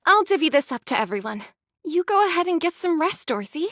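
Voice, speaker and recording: A young android squadmate from an anime game. Her voice is high and recorded very dry. She comes across as caring and gently insistent. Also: big pitch swings, fast, dry recording, full character voice. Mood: caring, firm.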